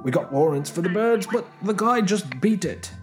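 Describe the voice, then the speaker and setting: Man playing a high-voiced, polite policeman. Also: animated, moderate pace, soft background music. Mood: apologetic, matter-of-fact. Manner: formal, apologetic. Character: polite male police officer reporting a theft. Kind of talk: dramatized podcast fiction.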